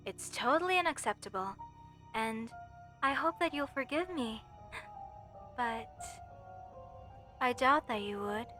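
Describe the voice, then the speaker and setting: Woman acting a high-voiced, obsessed lovesick mortician. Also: sharp tone. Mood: coy confession. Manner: slow, tender, deliberate, theatrical. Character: obsessed lovesick female mortician, sweetly menacing. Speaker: voice actor in an audio roleplay. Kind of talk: horror roleplay monologue addressed to listener.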